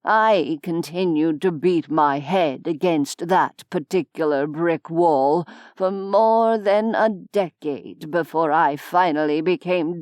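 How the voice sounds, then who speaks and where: Woman voicing a weary old nobleman, low and slow. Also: darkish tone, no echo, studio quality. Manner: slow, measured, dryly self-mocking. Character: weary old male medieval noble, wry. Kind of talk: audiobook character voice.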